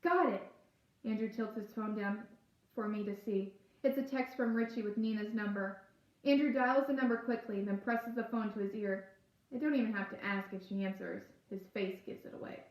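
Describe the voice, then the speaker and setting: A woman's voice: mid-range voice, steady tone, normal speed. A woman reading a first-person novel aloud as narrator. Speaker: book reader. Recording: echoey room.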